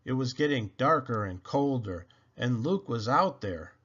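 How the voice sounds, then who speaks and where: Guy reading an adventure book out loud, slow pace, bit dull sounding recording. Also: mid-range voice, expressive. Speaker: storybook reader. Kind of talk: scripted read-aloud storytelling.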